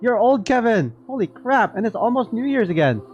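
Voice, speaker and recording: A man's voice: very high voice, very animated, brisk, darkish tone, lo-fi sound, soft background music. A man doing casual live game reaction commentary, chatting playfully with his viewers. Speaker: game streamer.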